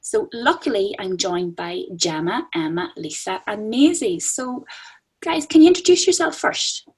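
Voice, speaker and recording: A woman's voice: mid-range voice, lively intonation, a little slow, a little mellow, breathy edge, rough recording. A woman lecturer hosting a casual podcast chat with students, often repeating words as she thinks.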